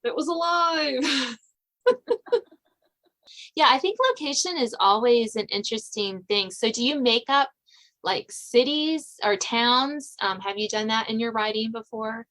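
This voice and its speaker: A woman's voice: on the high side, a little slow, fairly bright tone. An American woman hosting a podcast, chatting casually with a guest as a fellow writer. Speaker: podcast host and novelist. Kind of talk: casual interview chat.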